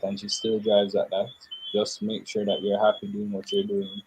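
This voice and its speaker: A young man, answering interview questions in a thoughtful, measured way with pauses. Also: fairly low pitch, darkish tone, slightly rough, low-quality recording. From Jamaica. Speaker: interview guest.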